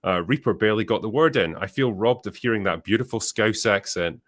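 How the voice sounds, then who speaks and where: Low-voiced man hosting a casual podcast. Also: a little fast, fairly bright tone. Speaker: podcast host. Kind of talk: casual chat, reading viewer messages aloud.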